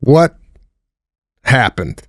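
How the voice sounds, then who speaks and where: A man's voice: mid-range voice, very animated, extremely slow, dry recording, studio quality. A man co-hosting a comedy podcast, making wry observations and firing off rhetorical questions. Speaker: podcast co-host. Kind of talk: casual comedic chat.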